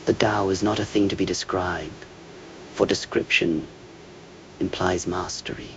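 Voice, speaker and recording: A man delivering a scripted meditative monologue in a measured, contemplative way, with hushed phrase endings that trail toward a whisper. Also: low voice, steady tone, dull audio, slight background noise.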